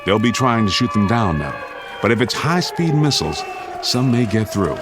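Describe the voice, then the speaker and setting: Firm suburban American dad, authoritative, hugely varied intonation, music underneath. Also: male voice, fairly low pitch, big pitch swings, normal speed. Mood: urgent, commanding. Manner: authoritative, terse commands. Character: firm suburban father, commanding, keeps his head in crisis. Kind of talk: vintage-style radio drama acting.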